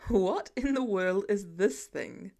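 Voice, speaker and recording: A woman voicing a sarcastic grown man, a superhero dad, in a dry, flat, deadpan way for an audiobook story. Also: medium pitch, slow pace. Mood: baffled.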